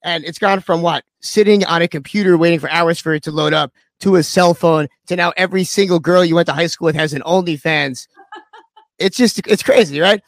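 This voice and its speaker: A man's voice: a little high, fairly quick, dry recording, studio quality. A man hosting a radio show interview, chatting casually with crude, joking banter.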